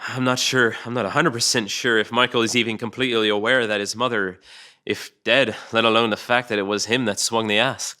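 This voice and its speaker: A man's voice: fairly low pitch, fairly even intonation, a little fast, sharp tone. A man playing a weary, scheming, sardonic cop who talks bluntly and persuasively in a dramatic read. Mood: grave, earnest. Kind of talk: dramatic table read acting.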